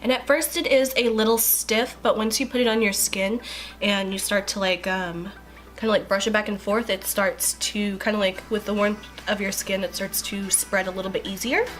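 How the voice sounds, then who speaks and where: A woman's voice: medium pitch, fairly even intonation, moderate pace, a bit bright, soft background music. A young woman with a stuffy, congested voice chatting casually through a skincare review, with lots of likes and ums. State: congested from a cold. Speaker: beauty vlogger.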